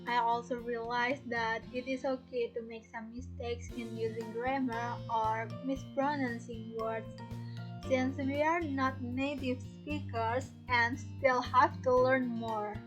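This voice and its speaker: A young woman with an Indonesian accent giving a measured, rehearsed student presentation on a lo-fi recording. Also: fairly high pitch, steady tone, music in the background. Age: young adult. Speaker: student panelist. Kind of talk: prepared presentation. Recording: dull lo-fi audio.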